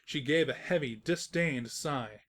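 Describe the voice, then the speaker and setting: A woman's voice: sits low, a little slow. A woman narrating a scripted comedic story as its storyteller.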